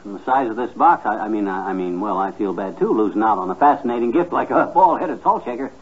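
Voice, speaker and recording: Blustery folksy husband w a soft heart, husky, 1950s radio comedy, muffled recording. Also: male voice, on the low side, lively intonation, fast talker. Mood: sheepish, boastful.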